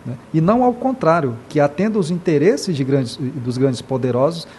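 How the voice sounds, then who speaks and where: Impassioned Brazilian man, halting and earnest. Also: on the high side, lively intonation, mellow, a little hiss or hum. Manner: impassioned, many hesitations and repeated "né". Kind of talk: earnest interview talk.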